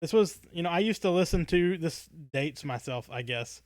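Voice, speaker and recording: A man's voice: on the high side, expressive, moderate pace, darkish tone, no room sound. A man around his thirties co-hosting a casual livestream chat, rambling along in a jokey, tongue-in-cheek way. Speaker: podcast co-host.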